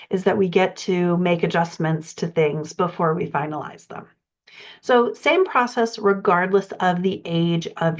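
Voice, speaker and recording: A woman with a somewhat low voice teaches like a writing coach, laying out her points in a measured and methodical order. The sound is slightly muffled and lo-fi, as in a modest recording. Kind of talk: instructional explanation.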